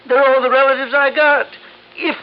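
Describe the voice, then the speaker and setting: A man playing a querulous, miserly old American uncle in a 1950s radio drama, gruff, suspicious and insistent. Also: very high voice, little pitch movement, moderate pace. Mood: defensive, scornful. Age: older. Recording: dull, noisy old radio recording.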